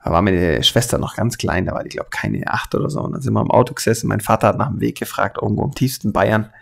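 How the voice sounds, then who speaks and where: A man's voice: lowish voice, lively intonation, quick pace, vocal fry. A man with a light southern German, Swabian accent, talking casually and colloquially as he hosts a hands-on tutorial. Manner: colloquial, chatty, chuckles often. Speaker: tutorial host, airbrush artist.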